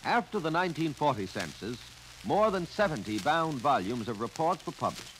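An American man narrating a 1950 instructional film in a clear, instructive manner that addresses the listener directly. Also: on the high side, normal speed. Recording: noisy 1950 filmstrip soundtrack, steady crackle.

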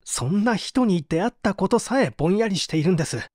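A man's voice: high-pitched, animated, a little fast, darkish tone, no echo, studio quality. A man playing an evasive, subdued painter who is under interrogation, hesitant and confessional, in a dramatised mystery reading. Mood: confused, pleading.

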